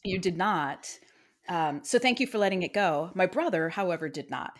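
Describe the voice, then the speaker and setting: Chatty woman with lively, lowish voice. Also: moderate pace. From Iowa. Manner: chatty and self-deprecating, laughs often. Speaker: podcast co-host. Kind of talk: casual true-crime podcast chat.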